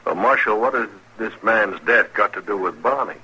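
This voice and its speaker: Forceful, husky short-tempered frontier gambler. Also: male voice, lowish voice, moderate pace, exaggerated voice, rough recording. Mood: indignant, demanding. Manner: blunt, folksy Western talk. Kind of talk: 1950s radio western acting. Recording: old radio broadcast recording.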